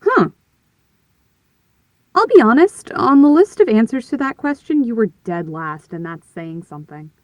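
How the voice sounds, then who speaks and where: A woman's voice: a little high, sing-song, moderate pace, a little mellow, no echo. A woman playing a blunt, teasing character in an audio drama, with a wry, frank manner. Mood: surprised, teasing. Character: blunt, teasing woman answering her door.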